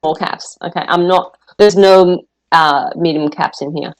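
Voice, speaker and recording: A woman with an Australian accent and a lowish voice talks over a video call. She speaks hesitantly as a trader getting coached, often pausing to find her words. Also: fairly even intonation. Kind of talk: casual conversation.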